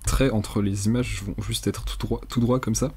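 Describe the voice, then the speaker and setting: A young man giving a casual, unscripted software tutorial in a hesitant, rambling, colloquial way, with a slightly husky voice. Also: fairly low pitch, steady tone, normal speed. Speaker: tutorial presenter.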